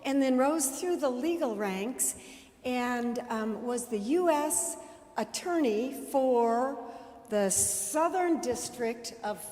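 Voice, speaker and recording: A woman hosting an event in a church room, introducing the guest speaker with many pauses and fillers. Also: on the high side, lively intonation, warm tone. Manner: slow, halting, many fillers. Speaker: event host. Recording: echoey hall with long reverb.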